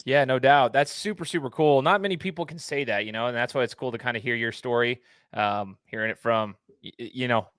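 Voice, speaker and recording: A man from Idaho hosts a casual sports interview in a mid-range voice. He is chatty and animated and asks long, winding questions. The sound is dry and close. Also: medium pitch, fairly quick, no echo. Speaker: podcast host.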